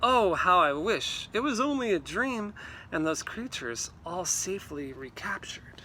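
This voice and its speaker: A man reading a storybook aloud to children in an animated, theatrical way, with exclamations and asides. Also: high-pitched, unhurried, a little hiss or hum, poor audio. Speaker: read-aloud teacher.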